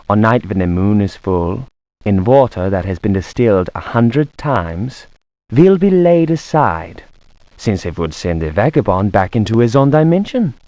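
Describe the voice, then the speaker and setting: An actor voicing a scholarly occult researcher in a video game, reading a grim treatise in a formal, measured, academic way. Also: male voice, on the low side, darkish tone, dry recording. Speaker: narrator of an in-game book. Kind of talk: scripted dramatic reading.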